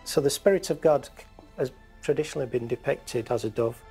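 A man's voice: mid-range voice, fairly quick, dark tone. A middle-aged man from England, a stained glass craftsman, patiently explaining his work in his workshop. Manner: gravelly, patient and instructive. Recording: faint music bed underneath.

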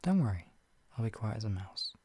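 Soft-spoken man with a gravelly voice. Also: on the low side, very animated, brisk, dry recording. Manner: gentle, soothing, often whispered. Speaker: comforting roleplay voice actor. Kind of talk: whispered comfort roleplay.

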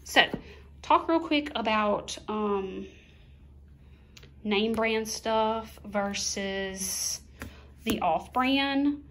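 A middle-aged woman talks through her shopping haul at home, filmed on a phone pointed at the table. She speaks slowly at a mid pitch, chatty and unhurried, counting items out one at a time. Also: a bit bright. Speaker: vlogger, mother of two. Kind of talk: casual haul chat.

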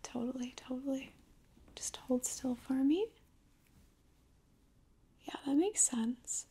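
Very slow, whispery young woman. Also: high-pitched, dark tone, husky. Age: 20s. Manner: whispery, soothing, close-up murmur. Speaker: ASMR performer. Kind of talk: ASMR roleplay, soft slow intimate talk. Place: living room, close to the camera.

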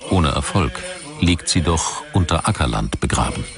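A man reading scripted documentary narration in a measured, factual manner. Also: extremely low pitch, expressive, gravelly. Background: background music.

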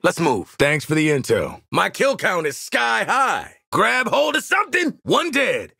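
A hard-edged American mercenary sharpshooter for a video game, fairly high for a man and swinging widely in pitch. He is cocky, sardonic and blunt as he orders his squad around, in a dry, close recording. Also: huge pitch swings, a little slow, vocal fry, sharp tone, husky, dry recording, heavily acted. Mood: confident, blunt. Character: hard-edged male mercenary marksman, sardonic, confident, bossy. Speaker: squad fighter. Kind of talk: video game combat voice lines.

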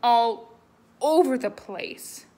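A woman's voice: a little high, drawn-out words, warm tone. A woman voicing a glum, mopey male fish in a children's storybook.

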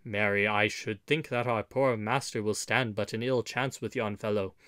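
A man's voice: lowish voice, fairly even intonation, normal speed, a bit bright, smooth, no room sound, clean studio sound, voice pushed down, close to an everyday voice. A man voicing a hearty, blunt yeoman outlaw in a storybook, full of wonder and archaic oaths. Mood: amazed. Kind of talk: audiobook storybook character.

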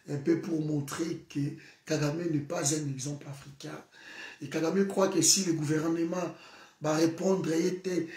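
A man in his thirties with a Congolese French accent and Lingala as his first language, on a sofa in an echoey room, set a little back from the microphone. His voice sits slightly high, and he argues his point with mounting passion, at times rising to a shout. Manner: switches between French and Lingala, grows heated and shouting. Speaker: political vlogger. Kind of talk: impassioned political monologue to camera. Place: indoor room, seated on a sofa. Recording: echoey room, voice a bit far from the mic.

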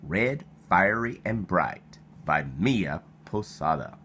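A man reading a children's picture book aloud in an expressive storytelling style, animated and playful. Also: low voice, slow, slight background noise. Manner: animated, with playful exclamations and sound effects.

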